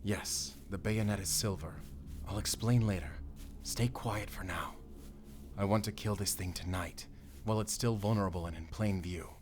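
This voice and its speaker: An actor playing a stern, secretive werewolf hunter with a German accent, giving terse, grave orders in a cinematic audio drama. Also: male voice, low voice, normal speed, darkish tone, natural voice. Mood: grave, hushed, wary.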